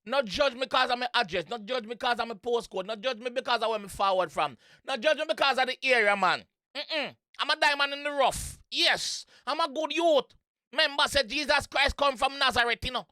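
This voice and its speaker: Excitable high-pitched Jamaican music reactor. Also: a little fast, no room sound, clean studio sound. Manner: excitable, playful, repeats phrases. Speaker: reaction video host. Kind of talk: casual music reaction commentary, singing along and riffing on lyrics. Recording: music playing in the background.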